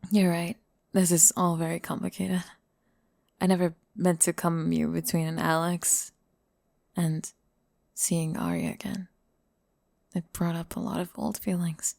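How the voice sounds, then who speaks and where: Woman as a soft, slow-spoken singer. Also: on the low side, level tone, creaky, no room sound, pitched below the performer's own voice. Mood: apologetic, wistful. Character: gentle, earnest famous singer-songwriter woman, flirtatious. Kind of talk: scripted ASMR roleplay.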